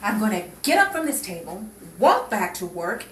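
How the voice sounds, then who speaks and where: A woman's voice: mid-range voice, big pitch swings, moderate pace, lightly acted. Woman acting stern elder sister, echoey and distant. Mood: indignant. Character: sensible, stern elder sister, a bank clerk refusing crime. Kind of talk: 1950s crime melodrama acting. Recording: echoey, distant, noisy room recording.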